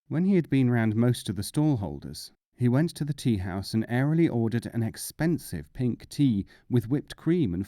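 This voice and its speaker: A man's voice: mid-range voice, mellow, no room sound, studio quality. A man narrating short humorous fables as a scripted audiobook reading.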